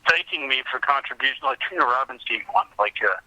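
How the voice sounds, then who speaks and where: Very bright, dry-sounding man in rambling conversation. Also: medium pitch, quick pace, dry recording, rough recording. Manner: rambling, conversational, backtracks mid-thought. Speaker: podcast guest, comics researcher and collector. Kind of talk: casual interview chat.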